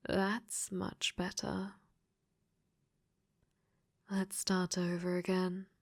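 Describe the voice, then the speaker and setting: Woman playing a possessive female game AI, unhurried delivery, breathy and whispery, sweetly menacing, clingy, ASMR roleplay. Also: lowish voice, completely flat delivery, full character voice. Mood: adoring, possessive. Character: possessive, clingy female dating-sim AI in robot body. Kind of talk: ASMR audio roleplay acting.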